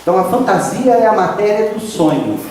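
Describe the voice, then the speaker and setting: A man's voice: high-pitched, very animated, brisk, dark tone, slight background noise. A man, preaching a sermon emphatically, drawing out key phrases and rising to exclamations. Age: 50s. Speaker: pastor. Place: church sanctuary. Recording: echoey hall from a distant mic.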